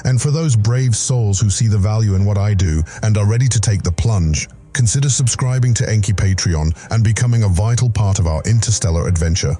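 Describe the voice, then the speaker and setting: A man's voice: on the low side, a little fast, hardly any pauses, warm tone. A man hosting his own podcast, reading a scripted promo with earnest, grandiose cosmic phrasing. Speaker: podcast creator and host.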